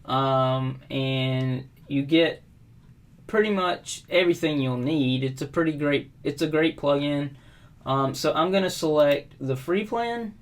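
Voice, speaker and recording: Man, middle-aged, from South Carolina, screen tutorial host, slow talker, casual with lots of ums, typing behind. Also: on the high side. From South Carolina, USA. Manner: relaxed, unhurried, frequent ums and uhs. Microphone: webcam overlay at desk.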